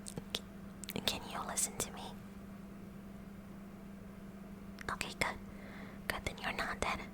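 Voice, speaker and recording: A woman's voice: moderate pace, close to an everyday voice. A woman playing a kooky, eager teenage science club president in a whispered ASMR roleplay, with nerdy formal wording and a nervous stammer. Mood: nervous, relieved.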